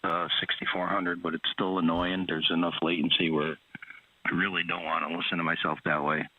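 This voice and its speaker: Brief: male radio operator, low voice, dry phone-like radio sound. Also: flat delivery, brisk, no echo. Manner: technical, hesitant, clears throat. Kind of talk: casual radio chat. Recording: tinny radio transmission.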